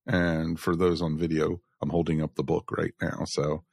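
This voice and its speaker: A man's voice: rumbling low, level tone, moderate pace, gravelly, darkish tone, slightly rough, dry recording, studio quality. An American man hosting a podcast in a casual, chatty style. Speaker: podcast host.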